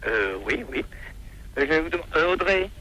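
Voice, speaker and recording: A man's voice: on the high side, big pitch swings, fairly quick, sharp tone. A man, a primary school teacher, politely and hesitantly answering a call on a noisy telephone line.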